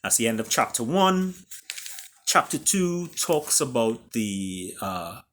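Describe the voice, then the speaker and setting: A man with a Trinidadian accent, an author and storyteller speaking theatrically, with booming emphasis and hushed drops. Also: sing-song, takes it slowly, a little hiss or hum. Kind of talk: dramatic storybook reading and introduction.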